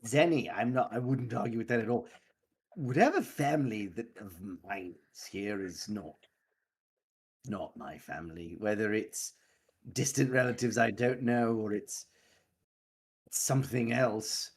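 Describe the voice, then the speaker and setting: A man playing a reserved, guarded fantasy adventurer in a tabletop game, husky and hesitant, with stiff politeness. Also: lowish voice, a little slow, slight background noise.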